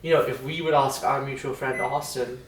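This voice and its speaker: A man's voice: medium pitch, fairly even intonation, moderate pace, a bit bright, a little hiss or hum. A man in his twenties from India, Australia and New York, chatting casually and slangily as he reflects, often repeating himself. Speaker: podcast guest. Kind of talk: casual chat, personal reflection. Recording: echoey room, distant from the mic.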